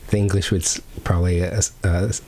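A man's voice: low voice, little pitch movement, fast, mellow. A man interviewing in a casual chat, asking curious follow-up questions and reacting briefly, with faint background noise.

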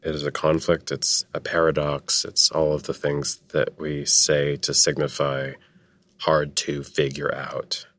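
Man, interview guest, very deep, slow, hesitant, dry. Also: monotone, a bit bright. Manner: searching for words, hesitant.

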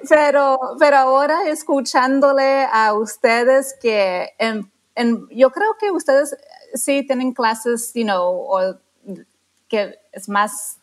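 A woman from Texas speaking Spanish as a learner, often pausing to search for words and correcting herself. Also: high voice. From Texas, United States. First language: English. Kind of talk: casual interview chat.

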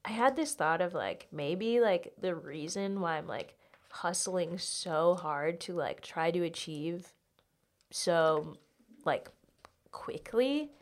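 Candid young woman with a lowish voice. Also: expressive, slow. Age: late 20s. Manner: candid, filler-heavy, uses "like" constantly. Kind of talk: casual, confessional podcast chat.

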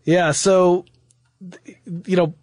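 A man's voice: high voice, flat delivery, very slow, darkish tone, no echo. A man co-hosting a podcast, casually discussing and guiding the conversation. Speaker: podcast co-host.

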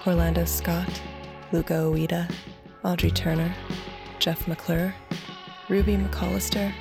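Woman credits announcer, measured reading, low and monotone over clear music.

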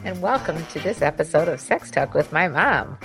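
A woman's voice: sits low, lively intonation, moderate pace, creaky, over loud music, poor audio. A middle-aged woman co-hosting a casual podcast, chatty and teasing, rambling with restarts. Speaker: podcast co-host, sex expert. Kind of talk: casual chat.

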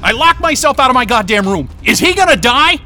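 Hot-headed trapped man, very high agitated voice, sharp and forceful, sweary, for a thriller podcast. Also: very animated, brisk, faint music behind, full character voice. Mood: alarmed, confused. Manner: agitated, sweary, repeating words. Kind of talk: audio drama acting.

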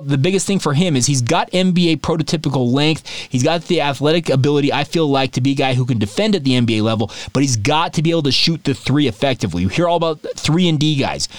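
A man hosting a solo sports podcast, talking in a chatty, animated way straight to his listeners. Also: on the high side, dry recording.

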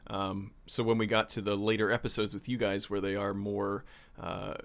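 A man's voice: fairly low pitch, level tone, moderate pace, gravelly, fairly bright tone. A man who produces the podcast, hosting a casual interview in a friendly, laid-back way and chuckling often.

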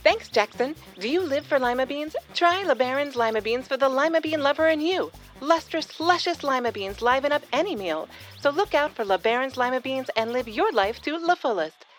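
Perky radio pitchwoman, high voice, polished ad read over music. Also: expressive, a little fast, hardly any pauses. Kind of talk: scripted mock radio ad read.

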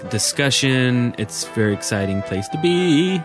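A man co-hosting a casual gaming podcast, talking in a halting, rambling way. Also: mid-range voice, animated, over music. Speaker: podcast co-host.

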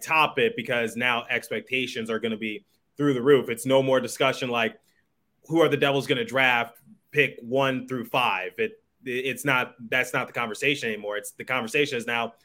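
Guy hosting a hockey podcast, normal pitch, real bright punchy voice, chatting like an interview. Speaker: podcast host, sports journalist. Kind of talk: conversational sports interview.

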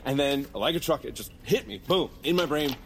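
A man playing a self-satisfied, excitable bounty hunter in an audio drama, dramatic and boastful. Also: medium pitch, a little fast, a little hiss or hum, lightly acted. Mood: smug excitement.

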